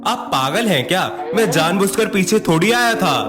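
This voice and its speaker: A man's voice: sits high, expressive, normal speed, over loud music. A man voicing a dashing young college student in an Indian cartoon story, mixing English phrases into his dialogue. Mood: indignant.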